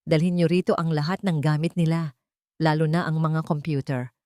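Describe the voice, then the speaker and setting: A woman's voice: fairly even intonation, normal speed, dark tone, clean tone, dry recording, clean studio sound, lightly acted. Woman voicing a commanding billionaire father in crisis. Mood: urgent, commanding. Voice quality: low, authoritative voice.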